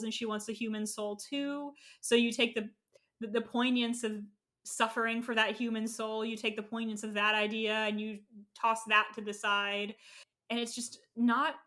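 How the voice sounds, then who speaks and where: A woman's voice: on the high side, pushed and bright. A woman in a casual discussion, sharing opinionated, analytical takes as a podcast co-host.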